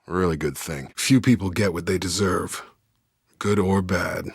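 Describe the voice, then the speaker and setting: Deep dry-sounding game soldier, loyal squadmate, guarded but frank, clipped military talk. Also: male voice, measured pace, slightly rough, dry recording, full character voice. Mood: dry, steady. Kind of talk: video game character dialogue.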